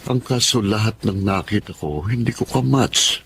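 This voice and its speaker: An actor playing a wealthy, ailing middle-aged boss in a Filipino radio drama, sad, earnest and kind. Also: male voice, fairly low pitch, moderate pace, creaky, a bit bright, a little hiss or hum. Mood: sad, resigned. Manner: weary, earnest, heavy-hearted.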